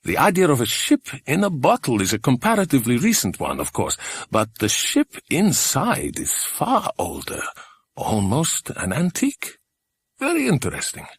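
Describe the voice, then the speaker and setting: Man acting a pedantic, husky older uncle. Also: medium pitch, wildly swooping pitch, normal speed, no echo, voice pushed up, heavily acted. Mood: puzzled, pondering. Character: learned, aloof older uncle examining curiosities.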